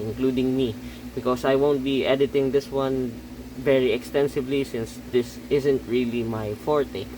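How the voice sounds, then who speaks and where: Guy reviewing earbuds in english, lots of uh and um, keeps saying okay?, average pitch, bit of faint noise behind. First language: Tagalog. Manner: halting, with many fillers and self-corrections, checking in with "okay?". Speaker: audio gear reviewer. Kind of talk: casual spoken product review.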